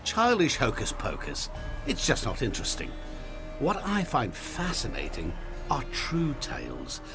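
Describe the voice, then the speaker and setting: An actor playing a suave, sinister merchant in a video game, speaking in an eloquent, deliberate, theatrical way with sly courtesy. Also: male voice, mid-range voice, wildly swooping pitch, music in the background. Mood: smooth storytelling. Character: suave, sinister male merchant with hidden powers. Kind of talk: video game character acting.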